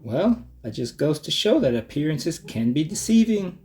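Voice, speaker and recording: A man voicing a patient, gently firm mother bear who explains things in a children's storybook. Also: fairly high pitch, sing-song, moderate pace, faint music behind, voice pushed up. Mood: calm. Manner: patient, instructive. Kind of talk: children's storybook read-aloud.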